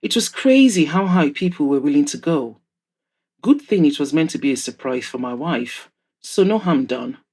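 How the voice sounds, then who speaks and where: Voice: medium pitch, expressive, normal speed, darkish tone, breathy edge. Audio drama role: plain-spoken husband read by a narrator, matter-of-fact, candid friend, mid-range voice. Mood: amused, animated. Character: matter-of-fact married man, frank friend. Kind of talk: audiobook-style character dialogue.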